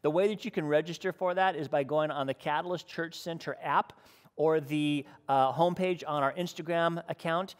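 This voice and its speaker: A man's voice: on the high side, in a large hall. A middle-aged man hosting casual church announcements and interviews in an informal, conversational way. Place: indoors, church stage.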